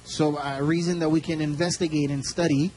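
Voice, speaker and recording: A man's voice: fairly high pitch, flat delivery, a crowd in the background. A man interpreting a sermon live, following the preacher phrase by phrase, often restarting his sentences. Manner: quick, restarting, echoes the speaker phrase by phrase. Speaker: church interpreter.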